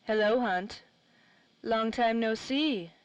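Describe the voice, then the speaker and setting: A woman's voice: fairly high pitch, takes it slowly, smooth, dull audio. A woman playing a cool, secretive, wry femme fatale in 1930s radio drama style. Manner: wry, slangy.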